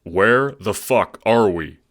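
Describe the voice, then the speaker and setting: A man's voice: fairly low pitch, animated, slow pace, a bit bright, voice pushed up, full character voice. A man voicing a defensive, sarcastic young guy who swears casually in an audio drama. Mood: confused, irritated.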